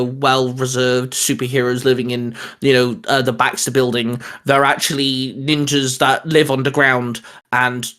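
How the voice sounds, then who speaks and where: A man chatting casually as a host pitching geeky ideas, rambling and restarting his sentences as he goes. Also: fairly bright tone. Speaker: host of a comics discussion show.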